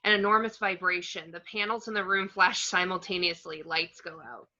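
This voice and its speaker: Female narrator for screenplay action lines; very bright, forceful tone, slightly muffled lo-fi sound. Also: fairly low pitch, steady tone, moderate pace. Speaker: narrator reading stage directions. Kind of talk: scripted screenplay narration at an amateur table read.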